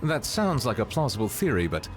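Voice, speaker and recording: A man voicing a dignified, earnest figure of authority in a video game, formal and measured. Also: medium pitch, very animated, natural voice. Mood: measured, serious. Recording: faint music behind the voice.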